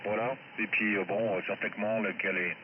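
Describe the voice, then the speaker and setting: Muffled shortwave reception with clear static carries a low-voiced man. He is an amateur radio operator, chatting casually with another station over the air. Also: fairly even intonation, brisk. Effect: shortwave amateur radio transmission. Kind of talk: casual radio contact chat. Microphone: heard through a radio receiver.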